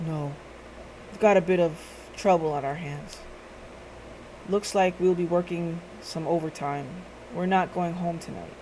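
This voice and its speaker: A woman voicing a weary, composed older boss detective in a visual novel, speaking in an understated, quiet way. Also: steady tone, unhurried, slight background noise, natural voice, rough recording. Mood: calm, grim. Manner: low-key, understated, quiet.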